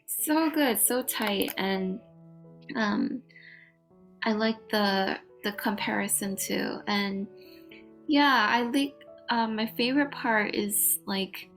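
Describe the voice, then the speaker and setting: A woman's voice: mid-range voice, takes it slowly, a bit bright, soft background music, rough recording. Informal, effusive woman teacher. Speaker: guest teacher. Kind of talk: casual spoken feedback to students.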